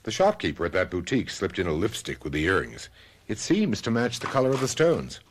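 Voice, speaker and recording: An actor playing a suave, dryly teasing husband who also narrates, wry and urbane, in an old-time radio drama. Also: male voice, deep voice, huge pitch swings, brisk, heavily acted. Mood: teasing, admiring.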